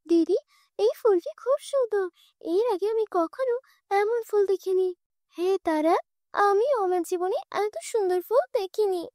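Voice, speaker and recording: A woman's voice: very high voice, a little slow, darkish tone, dry recording, studio quality, pitched above the performer's own voice. A woman voicing a humble, honest young flower girl in a cartoon fairy tale, polite and earnest. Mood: admiring.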